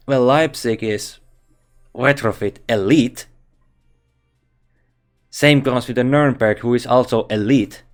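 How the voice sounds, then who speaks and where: Finnish guy going over game stats, slow and halting, lots of restarts, faint music behind. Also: mid-range voice. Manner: halting, thinks aloud, frequent restarts. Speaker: game video reviewer. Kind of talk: casual game commentary.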